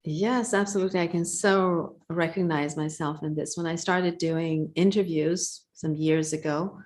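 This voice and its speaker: A middle-aged woman speaks slowly and thoughtfully, almost like meditating, in a live online chat. Her voice sits a little low. She sounds calm and grounded over a video call. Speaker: co-host and coach. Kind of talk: reflective live-stream conversation.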